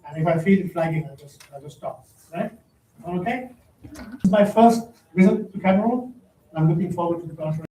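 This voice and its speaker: A man giving a keynote lecture in a big, loud voice, speaking directly to the audience with joking asides. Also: high voice, brisk, long pauses. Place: large conference hall. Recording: echoey hall, distant mic, faint noise.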